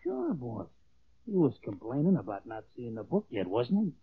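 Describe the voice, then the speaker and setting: Through a tinny old radio recording comes a boastful, dim American henchman. His rough voice swings up and down as he fishes for praise in gangster slang. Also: medium pitch, very animated, moderate pace, heavily acted. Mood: eager, boastful. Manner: ungrammatical tough-guy slang, eager for approval. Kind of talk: 1940s radio acting.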